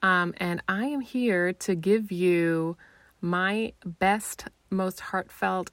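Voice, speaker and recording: A woman's voice: fairly low pitch, dry recording. A German-born woman speaking with an American accent, giving a playful, mock-earnest review as a guest.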